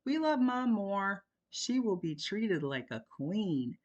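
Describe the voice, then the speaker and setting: A cheeky oldest son, teasing and quick to bolt, as a reader puts him on. The voice climbs well above the reader's own, bright and mischievous. Read as a storybook character. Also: medium pitch, very animated, lo-fi sound, voice pushed up. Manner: teasing, playful.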